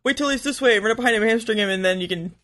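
A man's voice: high-pitched, racing, pushed and bright. A man co-hosting a podcast, chatting casually about video games. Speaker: podcast co-host.

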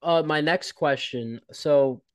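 A man's voice: a little high, slow, no room sound. A man hosting a casual podcast who asks his questions hesitantly and stops to rephrase them. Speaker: podcast host asking questions.

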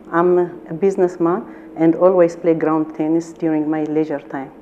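Middle-aged woman, patient's wife, low mellow voice, careful halting reading, small room, muffled lo-fi sound. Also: a little hiss or hum. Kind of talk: reading a letter aloud. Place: small indoor room, seated.

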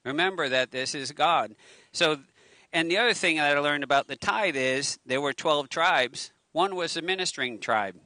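A man's voice: fairly high pitch, moderate pace, sharp tone, no echo. A middle-aged man preaching a casual sermon outdoors on a handheld mic, chatty, with joking self-mocking asides. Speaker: pastor. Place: outdoors by a waterfront on a lawn. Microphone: handheld microphone at a lectern.

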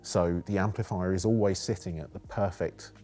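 A man with a deep, dark and relaxed voice talks as an engineer presenting his product. He explains technical details step by step in an interview setting. His delivery shows real enthusiasm for the engineering. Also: moderate pace, faint music behind.

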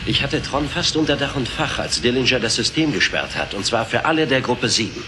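An actor playing a frustrated, sardonic programmer in an audio drama, grumbling wryly. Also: male voice, medium pitch, animated, brisk, music in the background, lightly acted. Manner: wry, grumbling. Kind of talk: dramatised audio play from a film.

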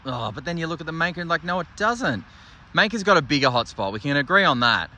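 A man's voice: fairly high pitch, very animated, quick pace, sharp tone. A man, in his thirties, casually reviewing flashlights and thinking aloud with enthusiasm. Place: workshop desk indoors, then outdoors at night.

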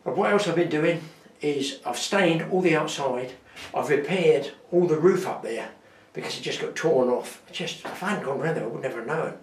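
Older male vlog host, playful and chatty, slightly high voice, recorded off-mic in an echoey cabin. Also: normal speed, distant mic, slight background noise. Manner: jokey and animated, chuckling asides. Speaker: fishing channel host. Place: garden cabin indoors, partly outdoors in the garden.

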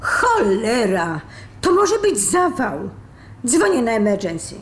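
A woman playing a sharp, sarcastic, fed-up live-in partner in a radio drama, brisk and sardonic. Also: on the high side, very animated. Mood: alarmed. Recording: roomy sound with faint noise.

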